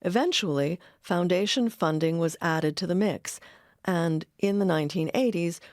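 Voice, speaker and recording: A woman with an American accent giving scripted documentary narration in a measured, articulate way. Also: fairly low pitch, a little mellow, no room sound, clean studio sound.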